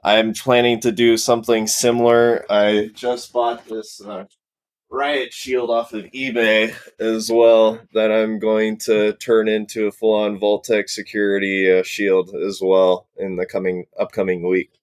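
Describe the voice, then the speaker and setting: Deep, even-toned man in casual chat. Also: measured pace, no room sound. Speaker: podcast guest, cosplay prop maker.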